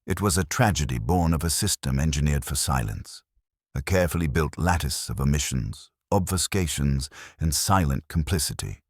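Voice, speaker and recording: A man voicing a brilliant, ponderous detective in an audiobook, speaking formally and deliberately, as if pronouncing judgement. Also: rumbling low, animated, husky, dry recording, studio quality, exaggerated voice. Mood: grave, certain. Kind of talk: audiobook character dialogue.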